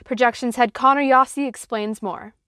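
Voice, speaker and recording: A woman's voice: on the high side, steady tone, normal speed, a bit bright, clean tone. A young woman reporter reading a scripted news narration.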